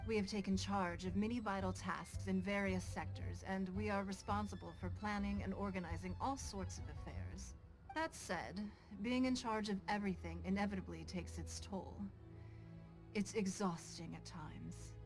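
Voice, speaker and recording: An actress playing a composed, dignified city leader in a video game, formal, gracious and measured. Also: female voice, mid-range voice, natural voice, rough recording. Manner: formal, poised. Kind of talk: English video game voice acting.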